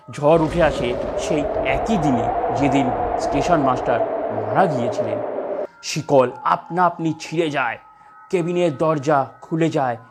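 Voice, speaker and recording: A narrator reading a scripted horror story. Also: mid-range voice.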